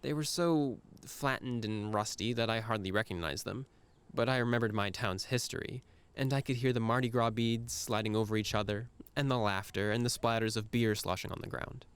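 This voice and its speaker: A man's voice: mid-range voice, a little fast, hardly any pauses, clear, resonant tone. A man performing a scripted first-person monologue in a reflective, musing manner with a wry edge.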